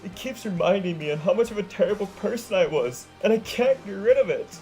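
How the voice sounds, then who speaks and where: A woman's voice: mid-range voice, lively intonation, a little fast, mellow, faint music behind, voice pushed up, natural voice, rough recording. A narrator voicing a guilt-ridden teenage girl who wails through choked sobs. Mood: anguished.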